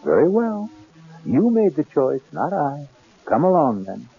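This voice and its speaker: A man hosting an old-time radio horror show in an ominous, teasing, theatrical way with dramatic pauses. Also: fairly high pitch, big pitch swings, creaky, slightly rough. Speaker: host of a radio mystery series. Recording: dull vintage radio broadcast, music behind. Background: music.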